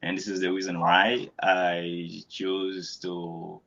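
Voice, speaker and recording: Laid-back Haitian man, low voice. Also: monotone, vocal fry, fairly bright tone, highs cut off. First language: Haitian Creole and French. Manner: halting, repeats words, laughs often. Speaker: English learner. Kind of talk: casual conversation practice.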